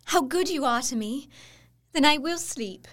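Fragile scared young girl in audio drama, high voice, plaintive n sweet. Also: expressive, unhurried, fairly bright tone. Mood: grateful, trusting. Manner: plaintive, earnest. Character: frail, frightened young woman, sweet and trusting.

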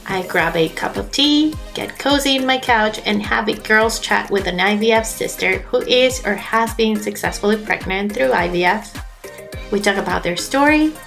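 A woman's voice: medium pitch, animated, moderate pace, vocal fry, fairly bright tone, faint music behind. A woman in her thirties from Colombia with a Colombian accent, hosting a podcast and telling her own story in an earnest, confiding way. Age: 30s. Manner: earnest, confiding, with fillers and restarts. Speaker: podcast host. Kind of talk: personal monologue. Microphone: podcast microphone.

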